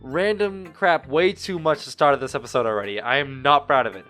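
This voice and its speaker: A man's voice: mid-range voice, a little fast, a bit bright. Guy doing a casual let's play, rambles and rephrases a lot, music behind him. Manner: rambling, often loses his thread and rephrases. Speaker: gaming video host.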